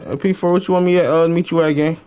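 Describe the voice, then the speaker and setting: A man's voice: on the high side, steady tone, fast talker. A young man chatting casually on a gaming livestream, using heavy slang and often repeating his phrases. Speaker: live-streamer playing an online role-play game. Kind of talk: casual gaming stream chat. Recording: dull lo-fi streaming mic.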